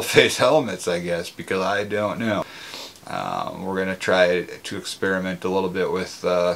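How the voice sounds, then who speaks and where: A man's voice: low voice, gravelly. A man gives a casual, conversational, plain-spoken product review. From Minnesota, United States. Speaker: motorcycle gear reviewer.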